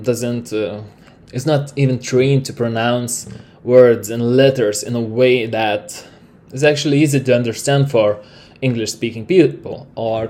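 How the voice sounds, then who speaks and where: Lithuanian man, non-native English, loose solo podcast ramble, mid-pitched. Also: dark tone. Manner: rambling, many fillers and restarts. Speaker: podcast host.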